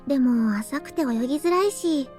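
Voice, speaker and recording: A woman's voice: high voice, animated, racing, darkish tone, faint music behind. A woman playing a young female character in a Japanese visual novel. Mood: soft, gentle.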